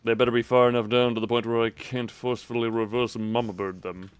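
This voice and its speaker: A man's voice: medium pitch, level tone, quick pace, clear, resonant tone, dry recording. A man doing casual live video game commentary, rambling playfully to his viewers and repeating himself as he exclaims.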